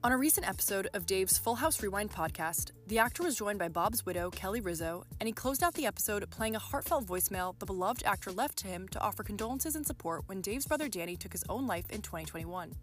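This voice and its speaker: A woman's voice: mid-range voice, lively intonation, quick pace, hardly any pauses, soft background music. A woman reading scripted entertainment news narration.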